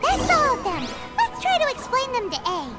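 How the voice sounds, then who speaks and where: A tiny floating companion sprite chatters in a very high, squeaky voice that leaps all over the place. She is excitable and refers to herself in the third person. Loud game music plays underneath. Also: moderate pace, full character voice. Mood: chirpy. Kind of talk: video game voice acting.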